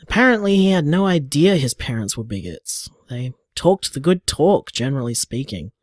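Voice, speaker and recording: A man's voice: mid-range voice, very animated, normal speed, no room sound, studio quality. A man playing an affectionate, playful young bar owner, chatting casually as if leaving voicemails in an audio drama. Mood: concerned.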